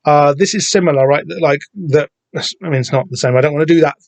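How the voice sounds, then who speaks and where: A man's voice: on the high side, quick pace, gravelly, dry recording. A man hosting a solo comic book podcast, rambling and digressive with lots of fillers and self-corrections. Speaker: podcast host. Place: home room with door open on a summer day.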